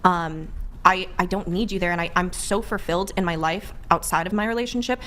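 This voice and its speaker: A woman in a panel discussion, explaining her views in a measured, reflective way. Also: on the low side, sharp tone.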